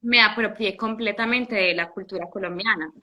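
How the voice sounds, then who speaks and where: A young woman from Italy, a guest in a casual interview, telling her story in an animated way with many restarts. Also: mid-range voice, normal speed, muffled recording. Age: young adult.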